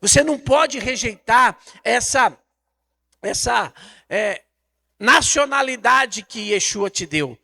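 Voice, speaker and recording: A man's voice: very high voice, expressive, slow, creaky, pushed and bright. A Brazilian man preaching a Bible lesson in an emphatic, didactic way, repeating phrases and posing rhetorical questions. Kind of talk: Bible teaching sermon.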